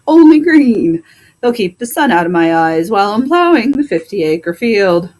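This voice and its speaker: A woman voicing a plain-spoken, indignant male plow horse with drawn-out, emphatic complaints in a storybook. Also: mid-range voice, sing-song, darkish tone. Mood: eager.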